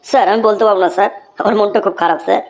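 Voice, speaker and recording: A woman's voice: medium pitch, rapid-fire, lightly acted. A woman voicing cheeky, smart-mouthed young pupils answering a teacher with mock respect in a comic cartoon. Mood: mock-woeful. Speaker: cartoon voice actor. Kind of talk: comic Bengali cartoon dialogue.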